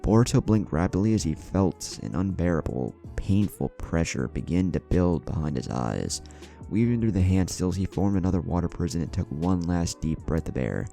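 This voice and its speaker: A man's voice: low-pitched, lively intonation, moderate pace, gravelly, warm tone. A man reading an adventure story as a dramatic scripted narration. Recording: background music under the voice. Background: music.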